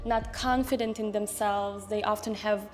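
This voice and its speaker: A woman's voice: medium pitch, steady tone, normal speed, slight background noise. A woman in her twenties from Israel, speaking English as an as an interview guest on a handheld mic, hesitant, with many fillers and restarts. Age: 20s. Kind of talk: casual interview.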